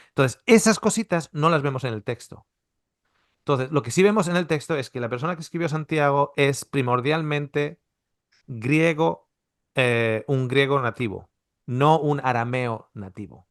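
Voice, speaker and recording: A man's voice: mid-range voice, a bit bright, dry recording, clean studio sound. A middle-aged man from Spain teaching a Bible seminar in a conversational, explanatory way, full of restarts and rhetorical questions. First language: Spanish. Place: Australia.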